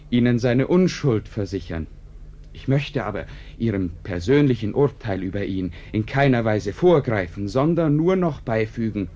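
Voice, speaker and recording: An actor playing an urbane author who narrates a radio play with courteous, dry irony in measured phrases. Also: male voice, on the low side, a little mellow. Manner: courteous, dryly ironic, measured. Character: urbane, ironic male author narrating his own tale. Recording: dull, band-limited radio recording.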